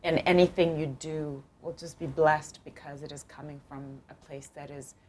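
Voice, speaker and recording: A woman's voice: low-pitched, steady tone, moderate pace, dark tone. A woman from Zimbabwe hosting an interview, effusive and encouraging, often laughing as she praises her guest. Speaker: host. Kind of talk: warm interview chat.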